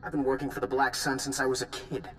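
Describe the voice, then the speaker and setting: A man's voice: level tone, quick pace, fairly bright tone, natural voice. An actor playing a defiant, contemptuous male gangster in a video game, with a sneering, boastful manner. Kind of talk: video game character dialogue. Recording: reverberant hall with rumbling noise.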